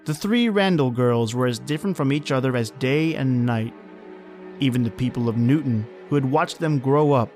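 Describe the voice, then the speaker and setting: A man playing a sinister, all-knowing narrator of dark tales in an old-time radio horror drama. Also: medium pitch, close to an everyday voice.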